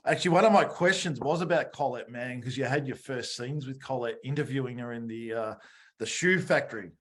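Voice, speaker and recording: A man with a mid-pitched voice hosts a relaxed fan interview. He asks questions and adds comments in a conversational way. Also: a little fast. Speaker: interview host.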